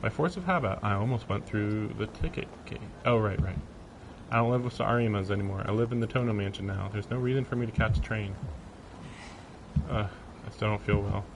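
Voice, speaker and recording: A man's voice: on the low side, brisk, noisy background. A man livestreaming a visual novel, reading the narration aloud and breaking off into casual, amused commentary.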